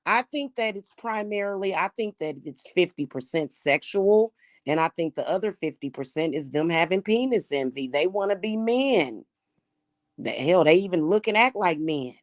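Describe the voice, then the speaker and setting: A woman with a Missouri accent speaks in a fairly low voice, blunt and scornful as she talks straight to her viewers. Her tone is mocking and sure of itself. The sound is dry and close, with no room echo. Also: expressive, moderate pace. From Kansas City, Missouri. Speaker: spiritual practitioner and channel host. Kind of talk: casual monologue to viewers.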